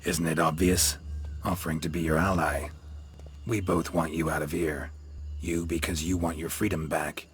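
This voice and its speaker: An actor playing a gruff, world-weary monster slayer in a fantasy video game, terse and dry with a growling delivery. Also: male voice, extremely low pitch, little pitch movement, moderate pace, a little hiss or hum. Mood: dry, persuasive. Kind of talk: video game character acting.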